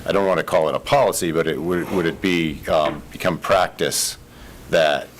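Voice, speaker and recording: A man's voice: rumbling low, normal speed, slight background noise. A man on the school board asking questions with hesitant, careful phrasing. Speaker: board member. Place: meeting room.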